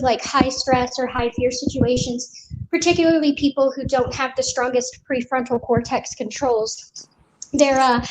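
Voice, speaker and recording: A woman in a casual group chat, explaining ideas in an articulate, measured way. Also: sits high, noisy background. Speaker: discussion participant.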